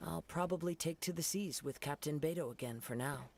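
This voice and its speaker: A man voicing a calm, courteous young wandering swordsman in a video game, measured and quietly resolute. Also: a little high, noisy background, close to an everyday voice. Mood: serene. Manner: measured, formal, polite.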